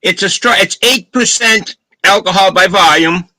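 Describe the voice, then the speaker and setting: A man's voice: high-pitched, moderate pace, bright, forceful tone, dry recording. A man with a Boston accent, chatting casually on a video call and describing a beer's taste in detail. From Boston, Massachusetts. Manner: detailed, descriptive talk about flavor. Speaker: beer reviewer, co-host. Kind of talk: casual chat, beer tasting review.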